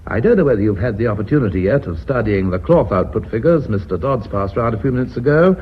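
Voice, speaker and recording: An actor playing a genial, pompous company chairman with a British accent, formal and measured, in a radio drama. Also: on the low side, expressive, natural voice. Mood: formal, approving. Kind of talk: BBC radio drama acting. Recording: noisy, dull old radio recording.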